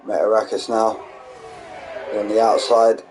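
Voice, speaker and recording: A young man with a hoarse, fading voice, calling an online sim race live with quick, excitable play-by-play and chatty asides. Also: lowish voice. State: losing his voice, hoarse. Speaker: sim racing league commentator. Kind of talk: live race commentary streamed. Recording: noisy streamed audio.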